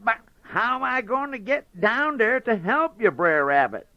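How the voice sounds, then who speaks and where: A man's voice: extremely high pitch, big pitch swings, measured pace, no echo, voice pushed up, exaggerated voice. A man doing a stammering greedy fox. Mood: eager, greedy. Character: greedy gullible male fox. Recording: dull dry lo-fi recording.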